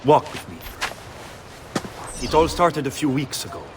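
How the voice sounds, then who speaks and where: An actor playing a shrewd, grave conspirator with an Italian accent, speaking deliberately in a video game. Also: male voice, medium pitch, expressive, natural voice. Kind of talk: video game cutscene acting. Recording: outdoor ambience noise behind.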